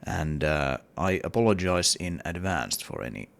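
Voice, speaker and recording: A man's voice: low-pitched, very animated, normal speed, creaky, fairly bright tone. A man with a cold and a partly lost voice, talking casually through his game level in a hesitant devlog with many fillers. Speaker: indie game developer.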